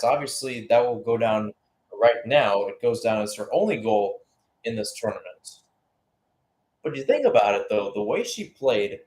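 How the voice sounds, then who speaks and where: A man with a medium-pitched voice commentates live on a football match in radio play-by-play style. He moves between calling the action and loose, conversational analysis, sometimes hesitating or correcting himself as he goes. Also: darkish tone, rough recording.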